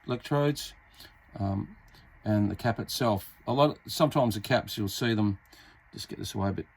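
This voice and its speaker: An Australian man giving a casual hands-on repair tutorial, talking in a halting, unscripted, step-by-step way as he works. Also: on the low side. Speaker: hobbyist demonstrating a radio repair. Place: indoors at a repair workbench. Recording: clearly noisy amateur workbench recording.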